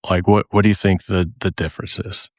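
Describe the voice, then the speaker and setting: A male podcast host asks questions in a casual, lively way. His voice sits somewhat low, and he leans into his reactions. The recording is dry and close, with no room around it. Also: a little fast, dry recording.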